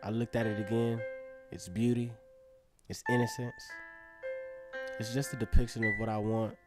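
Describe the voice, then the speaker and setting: A man's voice: fairly low pitch, flat delivery, normal speed, loud music behind the voice, close to an everyday voice. An actor playing an earnest teenage acrobat who narrates his story in a reflective, conversational way in a radio drama. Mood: troubled, reflective. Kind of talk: first-person narration in an Afrofuturist radio drama.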